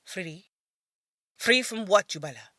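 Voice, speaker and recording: A woman's voice: mid-range voice, animated, takes it slowly, pushed and bright, no echo, studio quality. A woman voicing a practical, traditional middle-aged mother with a lecturing, questioning manner in an audio drama. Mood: irritated.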